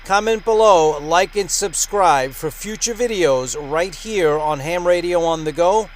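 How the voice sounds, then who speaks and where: Higher-pitched man presenting an upbeat vlog. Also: a little slow, slight background noise. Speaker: host of a ham radio channel.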